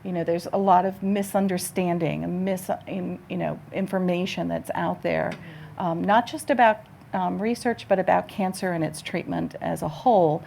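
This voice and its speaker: Female research nurse; earnest panel remarks, mellow gravelly tone. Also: on the low side, moderate pace, a little hiss or hum.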